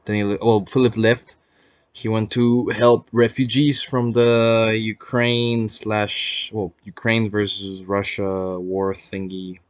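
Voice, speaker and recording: A man's voice: fairly low pitch, little pitch movement, slow pace, clean tone, dry recording. A young man with a Peruvian accent talking casually to viewers in a reflective, hesitant, rambling, unscripted vlog, swearing casually as he goes. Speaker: vlogger.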